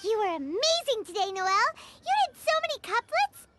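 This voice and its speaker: A woman voicing a tiny, excitable childlike fairy companion in an anime game, perky and talking about herself in the third person. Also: brisk, heavy background noise. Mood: elated, proud. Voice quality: squeaky, childlike. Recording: loud game music behind the voice.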